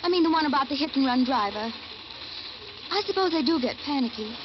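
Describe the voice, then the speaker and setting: Through the dull, noisy sound of an old radio thriller comes a high-voiced woman. She is a chatty, oblivious friend who rambles along in homely, folksy 1940s dialogue. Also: lively intonation, fairly quick. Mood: musing. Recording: muffled noisy vintage radio recording.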